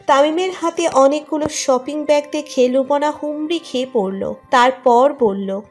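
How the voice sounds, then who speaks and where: High-pitched woman narrating a story. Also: unhurried. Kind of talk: scripted romantic story narration. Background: music under the narration.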